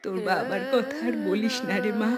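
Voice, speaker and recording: A woman's voice: medium pitch, moderate pace, close to an everyday voice. A woman playing a weary, long-suffering poor village mother in a melodramatic cartoon, plaintive and tender in manner. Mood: sorrowful. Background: loud music.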